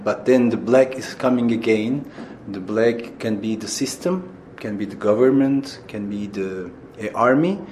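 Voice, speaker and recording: A theatre director from Turkey speaking Turkish-accented English in an interview, halting and searching for words with many fillers and restarts. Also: male voice, mid-range voice, a little mellow, a little hiss or hum. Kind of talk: interview answers.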